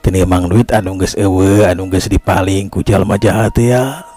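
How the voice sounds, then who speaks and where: A man's voice: low voice, steady tone, normal speed, dark tone, no room sound. A man voicing a humble, grateful village man in a folk tale, earnest and exclamatory, with a Sundanese accent. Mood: resigned, hopeful. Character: ailing village man, amazed, grateful and piously resigned.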